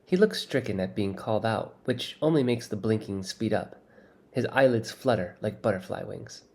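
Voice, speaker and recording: A man narrates a children's story in the first person. His voice is fairly low with a strong creaky fry, and the reading is scripted and expressive. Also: moderate pace. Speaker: audiobook reader.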